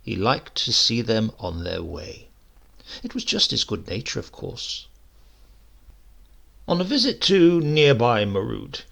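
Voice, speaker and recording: A man with a husky voice reading a literary story in a measured, wry way. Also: mid-range voice, bright, forceful tone. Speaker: story narrator. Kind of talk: scripted literary narration.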